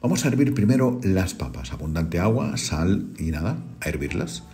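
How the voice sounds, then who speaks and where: Deep-voiced man. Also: very animated, warm tone. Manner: unhurried, homely, talks viewers through each step. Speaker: cooking channel host. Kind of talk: home cooking demonstration. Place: home kitchen.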